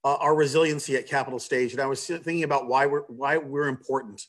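A man's voice: medium pitch, fairly even intonation, fast. A man giving an informal online presentation on a video call, hesitant, with frequent ums and restarts. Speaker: theater staffer presenting subscription details.

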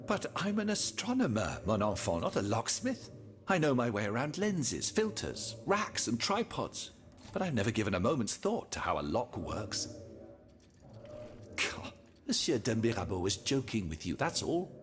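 An actor playing a flustered, learned astronomer in a video game, fussy and exclamatory. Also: male voice, medium pitch, huge pitch swings, normal speed. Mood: flustered, incredulous. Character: flustered, incredulous learned French astronomer gentleman. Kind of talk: video game cutscene acting.

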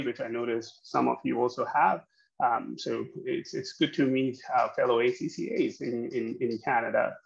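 A man's voice: mid-range voice, creaky. Guy giving a webinar talk over a video call, lots of ums and restarts, muffled lo-fi audio. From Pakistan. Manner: hesitant, many fillers and restarts. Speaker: guest speaker, accounting educator. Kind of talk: webinar presentation. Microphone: video call webcam.

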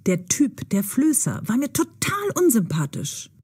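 An actress plays a decisive young woman in an audio story. Her voice is fairly high and a little husky, with a brisk, determined delivery. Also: wildly swooping pitch, warm tone, exaggerated voice. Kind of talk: audio drama acting.

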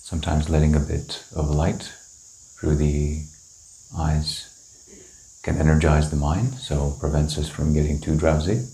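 Very deep-voiced man guiding meditation. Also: a little hiss or hum. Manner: calm, gentle, unhurried with long pauses. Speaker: meditation teacher. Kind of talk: guided meditation instruction. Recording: roomy, echoing indoor sound.

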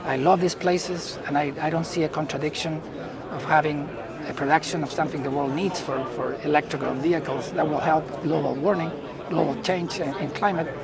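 A middle-aged man from Chile with a Chilean Spanish accent, answering interview questions as a company executive in halting phrases. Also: a little high, steady tone, gravelly. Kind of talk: unscripted business interview. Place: indoor booth at a trade conference. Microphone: lapel mic. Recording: busy event hall chatter behind.

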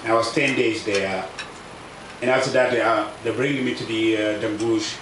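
South Sudanese man in his thirties, halting non-native English, outdoor tent mic, echoey and distant with background noise; personal testimony. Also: lowish voice, fairly even intonation. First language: a South Sudanese language. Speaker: refugee speaker. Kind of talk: personal testimony at a public event. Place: outdoors under a tent canopy at a camp. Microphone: table microphone on stand.

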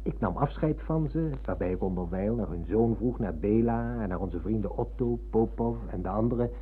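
An actor playing a polite, gently teasing middle-aged former pupil in a Dutch radio play, telling things in a measured way. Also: male voice, fairly low pitch, expressive, soft background music, lightly acted. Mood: teasing, storytelling. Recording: muffled lo-fi old radio recording.

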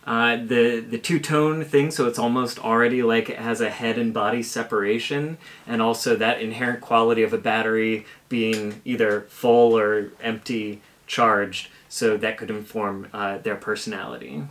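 A man's voice: mid-range voice, little pitch movement, moderate pace, few pauses, a bit bright. A man in his thirties casually explaining puppet-making as a presenter, recorded in a home kitchen.

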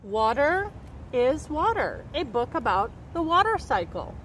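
Very high-voiced woman reading to children. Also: measured pace. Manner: expressive, carefully enunciated picture-book delivery. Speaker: storybook reader and nature educator. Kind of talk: read-aloud storytelling for young children. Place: outdoors by a woodland creek. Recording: outdoor background noise.

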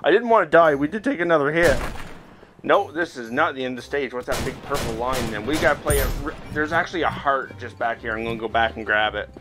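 A man giving casual, excitable commentary as he plays a virtual reality game, reacting aloud as he goes. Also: medium pitch, fast, over music. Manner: excitable, reacting aloud while physically playing. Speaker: video game streamer.

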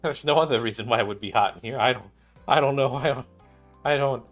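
A man's voice: mid-range voice, fast, a bit bright. A man in his thirties casually reading visual novel dialogue aloud as he streams. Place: indoor room at a gaming setup. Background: game music, keyboard clicks.

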